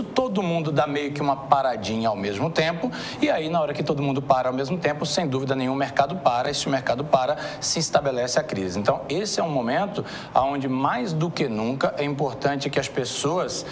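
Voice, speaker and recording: A man from Bahia with a Brazilian accent, answering interview questions as a consultant in a didactic way. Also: mid-range voice. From Salvador, Bahia, Brazil. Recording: faint noise in TV interview.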